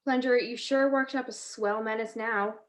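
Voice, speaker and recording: Woman acting a wry lady sleuth, high-voiced. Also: fairly even intonation, moderate pace, close to an everyday voice. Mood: wry, probing. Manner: wry, teasing. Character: quick-witted wry female amateur sleuth. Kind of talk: 1940s radio mystery acting.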